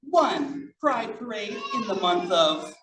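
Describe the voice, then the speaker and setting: A person reading picture books aloud to children in an animated, encouraging way, with chatty asides. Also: medium pitch, takes it slowly. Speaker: drag storytime reader. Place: echoey indoor room, library event. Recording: roomy, noisy, distant mic.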